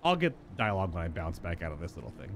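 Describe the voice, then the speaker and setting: A man's voice: sits low, big pitch swings, racing, very noisy. A man doing casual live game commentary, musing and rambling as he thinks aloud. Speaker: game streamer.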